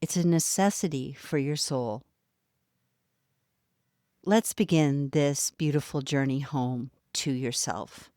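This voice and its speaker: A woman guiding a yoga nidra relaxation, speaking softly and soothingly with long pauses between phrases. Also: rumbling low, dry recording, studio quality. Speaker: meditation guide. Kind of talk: guided yoga nidra meditation.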